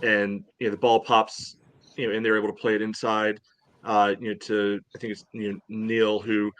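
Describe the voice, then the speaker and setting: A man talking through a soccer match casually as a podcast host, in a halting way peppered with "you know.". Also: fairly low pitch, flat delivery, fairly bright tone, dry recording.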